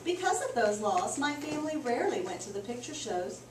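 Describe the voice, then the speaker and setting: In a roomy, noisy recording, a woman reads a children's book aloud from a little way off the mic. Her voice swings widely in pitch as she tells the story with clear emphasis for young listeners. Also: big pitch swings, fairly quick, distant mic. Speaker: read-aloud teacher. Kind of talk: expressive picture book read-aloud.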